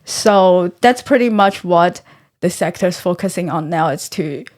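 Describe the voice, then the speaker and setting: A woman's voice: lowish voice, animated, a little mellow. Earnest young woman from Taiwan. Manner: thoughtful, earnest, pauses to find words. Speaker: guest researcher. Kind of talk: conversational interview.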